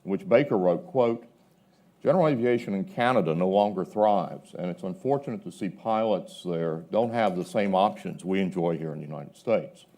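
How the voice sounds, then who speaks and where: A man with a very low-pitched, dark and mellow voice speaks as a panelist. He argues his case in a measured but emphatic way, setting out his points like someone citing evidence. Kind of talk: panel discussion, arguing a point. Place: live panel event before an audience.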